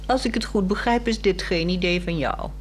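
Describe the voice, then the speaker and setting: A woman's voice: on the low side, normal speed, slight background noise, lightly acted. A woman with a Netherlands Dutch accent playing a bored, frank housewife in a 1960s radio drama, probing and pointed in a dry way.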